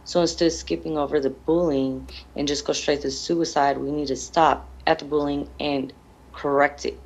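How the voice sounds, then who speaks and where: Grieving mother, interviewee. Deep female voice with fry, halting plain speech. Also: steady tone, muffled recording. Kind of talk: emotional television interview.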